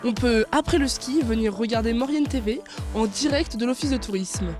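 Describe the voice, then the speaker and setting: A woman's voice: on the high side, moderate pace, music in the background. A woman interviewing locals as a courteous regional TV reporter, asking questions and recapping answers.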